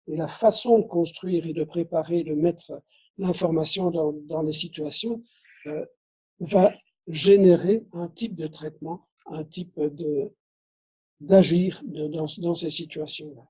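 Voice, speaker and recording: Husky-voiced man giving a lecture. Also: fairly high pitch, steady tone, measured pace, mellow, muffled recording. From Belgium. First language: Dutch. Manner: restarts phrases, peppers talk with tag questions. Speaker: conference speaker. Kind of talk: lecture at a conference.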